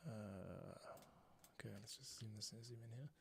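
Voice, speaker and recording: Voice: medium pitch, normal speed, gravelly, slight background noise. A composer giving casual commentary while working on a score, thinking aloud as host. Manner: thinks aloud, sings melody lines in syllables. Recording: loud music over the voice. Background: music being composed and played back.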